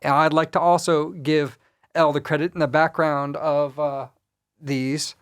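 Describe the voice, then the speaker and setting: A man's voice: fairly high pitch, flat delivery, a little slow, fairly bright tone, clear, resonant tone, no room sound. Man, podcast host, conversational, polite, encouraging, dry close sound. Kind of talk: conversational interviewing.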